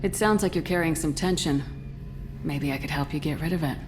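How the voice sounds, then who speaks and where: A female military commander played by an actress talks in a very deep voice for a woman, with clear ambient noise behind her. She is steady and supportive, composed and direct, as in video game dialogue. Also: brisk, natural voice. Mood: curious, familiar.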